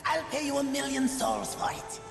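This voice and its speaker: A man playing a wheedling, flattering demon merchant in a video game, with an oily, theatrical delivery and creaky voice. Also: extremely high pitch, normal speed, full character voice. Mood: wheedling, sly. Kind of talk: dramatic video game character acting. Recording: long echoing reverb under music.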